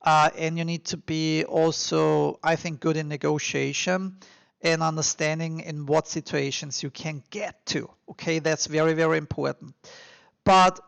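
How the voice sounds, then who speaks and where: Higher-voiced Austrian man, slightly creaky. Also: little pitch movement, measured pace, a bit bright, dry recording, dull audio. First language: German. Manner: emphatic, encouraging, with informal repetition. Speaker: podcast and YouTube host. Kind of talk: motivational advice monologue to listeners.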